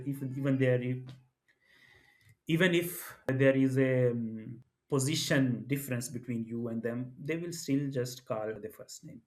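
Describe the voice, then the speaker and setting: A man from Pakistan co-hosting a business culture talk, speaking thoughtfully with many restarts. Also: medium pitch. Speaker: co-host. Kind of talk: conversational podcast discussion.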